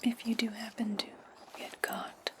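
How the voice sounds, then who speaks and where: A woman playing an imperious, scheming witch in a breathy, condescending whisper for an ASMR fantasy roleplay. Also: fairly high pitch, monotone, close to an everyday voice. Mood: condescending, instructing. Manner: slow, deliberate, condescending. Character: imperious, scheming witch giving orders to her servant.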